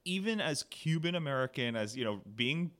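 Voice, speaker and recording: A man's voice: mid-range voice, expressive, normal speed, fairly bright tone. A man hosting a podcast, asking long, winding questions in a casual tone. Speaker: podcast host. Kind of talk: casual interview conversation.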